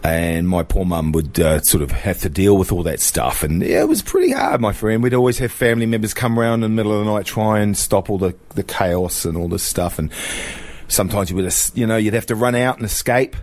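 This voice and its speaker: A middle-aged man from New Zealand, a guest sharing his personal testimony in a relaxed, reflective way, with fillers and restarts. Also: lowish voice, brisk, dry recording.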